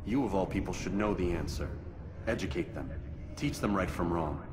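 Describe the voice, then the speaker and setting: A man acting a stoic, principled medieval assassin in a video game, speaking in a measured, earnest way. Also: deep voice, expressive, big-room sound, noisy background. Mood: firm, solemn.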